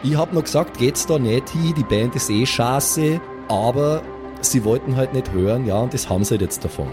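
A man's voice: medium pitch, normal speed, music in the background, close to an everyday voice. Man putting on a grumpy Austrian festival camper. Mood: grumbling, defensive. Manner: blunt, colloquial, grumbling.

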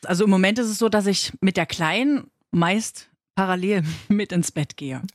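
A woman's voice: medium pitch, normal speed, dry recording, clean studio sound. A woman in her thirties talking casually and chattily as the co-host of a podcast, in everyday colloquial language. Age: 30s. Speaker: co-host, fitness beginner and working mother. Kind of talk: casual conversational podcast talk.

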